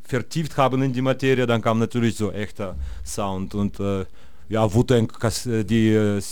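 A man's voice: fairly low pitch, fairly even intonation, moderate pace, a little mellow, clean tone, dry recording. Man, rambling interview guest. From Russia. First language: Russian. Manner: rambling, many fillers and restarts. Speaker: guest musician. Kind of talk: casual interview.

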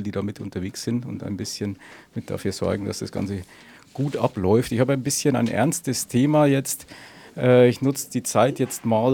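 A man's voice: on the low side, creaky, dark tone, husky. A man from an event team giving an informal spoken briefing. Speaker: parade demonstration team member.

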